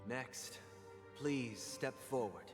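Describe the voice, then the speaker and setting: A man playing a composed, formal young clerical leader in a video game, speaking in a measured, restrained and solemn way. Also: mid-range voice. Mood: calm, solemn. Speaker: advocate in a confessional. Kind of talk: scripted video game acting. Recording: long reverb, music behind.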